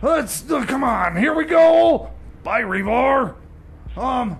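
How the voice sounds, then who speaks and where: A man playing a flustered, exasperated, stammering radio DJ in a comedy radio show, raspy and halting. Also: lively intonation, exaggerated voice. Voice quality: high-pitched, gravelly and raspy. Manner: halting, stammering, filler-heavy, rambling. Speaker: radio DJ and host. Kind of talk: comedy radio theater, bumbling on-air banter.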